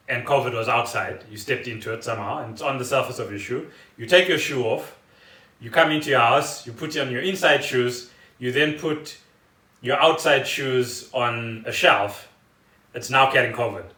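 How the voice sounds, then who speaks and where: A man's voice: mid-range voice, pushed and bright. A South African man co-hosting a podcast in casual chat, explaining things in a rambling way. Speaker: podcast co-host. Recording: echoey room, distant mic.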